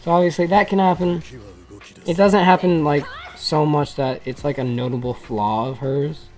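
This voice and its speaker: Gaming creator, mid-pitched voice, informal and rambling showcase commentary, music underneath. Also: fairly even intonation, normal speed, a little mellow. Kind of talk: casual gameplay commentary.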